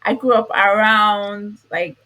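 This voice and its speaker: A Nigerian woman, an author, reflecting in a relaxed interview with frequent fillers and soft laughs. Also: medium pitch, slow, rough recording. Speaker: author guest. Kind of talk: interview conversation.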